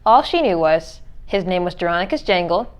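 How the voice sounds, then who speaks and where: A woman's voice: fairly low pitch, expressive, fairly quick, smooth. A woman reading a children's storybook aloud as narrator. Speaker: read-aloud reader.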